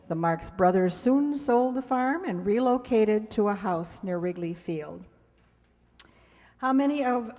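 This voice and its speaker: A woman hosting a live show on stage, addressing an audience in an informal way with ad-libbed asides. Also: a little high. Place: hall before a live audience. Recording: hall reverb, live stage recording.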